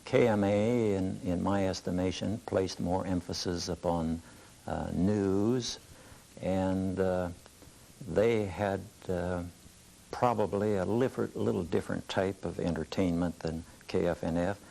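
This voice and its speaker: Very deep, creaky older man. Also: steady tone, measured pace, dark tone, low-quality recording. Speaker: interviewee recalling early local radio. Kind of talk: reminiscing in an interview.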